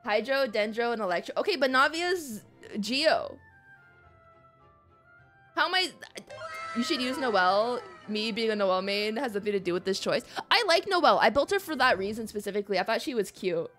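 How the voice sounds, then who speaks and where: A woman streamer chatting casually and playfully about a video game, expressive and prone to giggling. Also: on the high side, normal speed. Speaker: streamer, host. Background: music.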